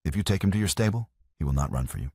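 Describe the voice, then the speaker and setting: A man voicing a proud, calm young woman horse trainer who speaks with quiet, firm defiance in an audiobook romance. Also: low-pitched, racing, gravelly, husky, dry recording, studio quality, pitched above the performer's own voice, exaggerated voice.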